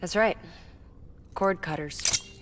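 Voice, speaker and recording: A low-voiced female scientist. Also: takes it slowly, fairly bright tone. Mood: calm, explanatory. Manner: explanatory, plain-spoken. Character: calm, practical female scientist and gadget maker. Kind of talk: cinematic video game acting.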